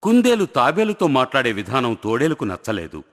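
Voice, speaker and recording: A man's voice: mid-range voice, wildly swooping pitch, fairly quick. A man narrating a scripted moral story for children as the storyteller. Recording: long hall reverb, faint music.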